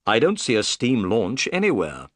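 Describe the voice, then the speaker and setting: A man's voice: fairly low pitch, wildly swooping pitch, normal speed, fairly bright tone, no echo. A man voicing a composed, courteous, shrewd detective in an audiobook story, polite and confident. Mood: polite, inquiring.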